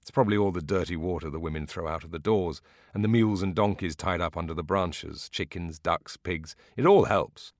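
A man's voice: deep voice, fairly quick, dry recording, clean studio sound. Man voicing genial Barba Petro, folksy village storyteller. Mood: playful, wry. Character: Barba Petro, genial Greek villager explaining olive lore.

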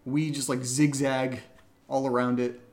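A man's voice: mid-range voice, level tone, measured pace, darkish tone. A man co-hosting a podcast, reading out listener questions and chatting casually with playful, wry teasing. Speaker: audio drama podcast co-host.

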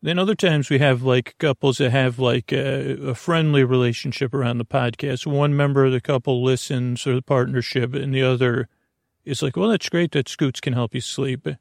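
A man's voice: medium pitch, steady tone, normal speed, gravelly, no room sound, studio quality. A man hosting a bedtime podcast in a whimsical, meandering monologue, often hesitating and breaking off mid-thought. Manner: meandering, hesitant, self-interrupting; whimsical, silly. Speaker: podcast host.

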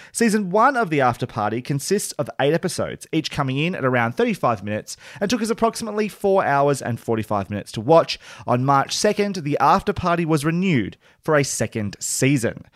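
A man hosting a casual TV review podcast, his voice croaky and worn from yelling. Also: medium pitch, no echo, studio quality. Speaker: podcast host.

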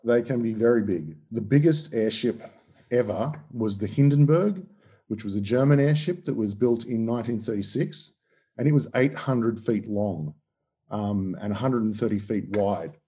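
A man's voice: on the low side, lively intonation, normal speed, dark tone, breathy edge. An Australian man, a historian, explaining things in an interview with many ums and restarts. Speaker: historian guest.

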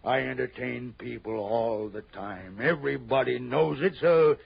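A nervous, domineering rich man in an old radio drama. He is gravelly and unhurried, stammering and repeating himself as he blusters. The recording is dull, like vintage broadcast audio. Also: medium pitch. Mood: flustered, defensive. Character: nervous, bossy, wealthy male host fearing for his life. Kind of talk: 1960s radio drama acting.

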